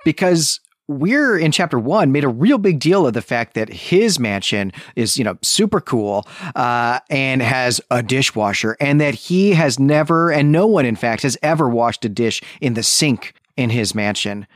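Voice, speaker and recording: Male co-host, middle pitch, casual book chat, informal, emphatic phrasing, agreement tags, dry recording. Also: lively intonation, unhurried, hardly any pauses, dry recording. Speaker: podcast co-host. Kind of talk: casual literary discussion.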